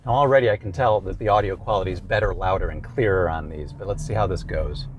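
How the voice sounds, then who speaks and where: A man's voice: low-pitched, fast, highs cut off, a little hiss or hum. A man presenting a product range test in a casual, conversational way from inside a car.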